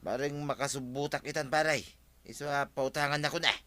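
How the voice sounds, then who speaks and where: An actor playing an insistent gambler in an audio drama, whining and pressing to borrow money. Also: male voice, medium pitch, fairly even intonation, a little fast, pushed and bright, dry recording, natural voice. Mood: wheedling. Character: wheedling gambler pestering his friend for a loan. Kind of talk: Ilocano radio-style audio drama acting.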